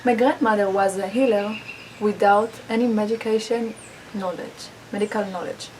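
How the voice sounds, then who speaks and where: Woman from Israel, halting speech in a roomy space. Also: mid-range voice, steady tone, a little mellow, a little hiss or hum. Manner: halting, with restarts and non-native grammar slips. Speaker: public speaking student. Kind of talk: rehearsing a personal speech. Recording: roomy, distant mic, background ambience.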